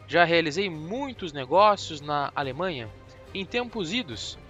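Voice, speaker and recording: A man playing a calm, ironic, self-assured detective in an audiobook. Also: sits high, voice pushed up. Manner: dry, mocking, self-assured.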